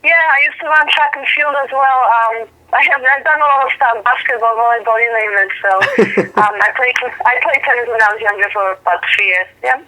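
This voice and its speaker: A woman in her mid-thirties, originally from Poland, answers questions in an interview. Her voice is bright and sits a little high. She thinks aloud and fills her pauses with soft "um"s. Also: poor audio. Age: 30s. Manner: thoughtful, with frequent "um" pauses. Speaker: professional boxer and guest.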